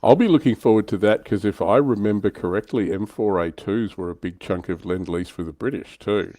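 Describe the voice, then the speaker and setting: A man's voice: fairly low pitch, brisk, dark tone, dry recording. A man hosting a livestream in a casual, chatty and informal way. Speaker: livestream host.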